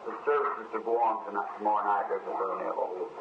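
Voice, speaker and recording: A hoarse man preaching and praying slowly, with halting pauses, in an old sermon recording. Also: fairly low pitch, fairly even intonation, lo-fi sound, background noise. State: hoarse from a cold. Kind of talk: archival sermon with prayer.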